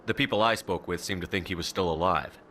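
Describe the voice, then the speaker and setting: Resolute game commander: deep register, measured, no-nonsense delivery. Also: male voice, bright, forceful tone, slight background noise. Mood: inquiring. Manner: firm, measured, direct. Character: resolute, principled male military commander. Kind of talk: video game character acting.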